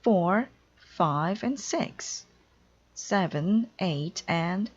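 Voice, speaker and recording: Lady doing a bubbly scatterbrained storybook housekeeper woman, pitched up, bit husky, slightly muffled recording. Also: lively intonation, drawn-out words, full character voice. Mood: excited. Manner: bubbly, earnest, exclaiming. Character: cheerful, literal-minded, scatterbrained housekeeper woman. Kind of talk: children's storybook read-aloud.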